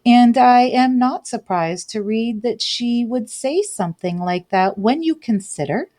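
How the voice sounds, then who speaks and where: A woman's voice: fairly high pitch, lively intonation, measured pace, mellow. A woman hosting a casual commentary show, reading news aloud and adding chatty tag questions, with light laughs between remarks. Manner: chatty, adds tag questions like "right?" and "okay?".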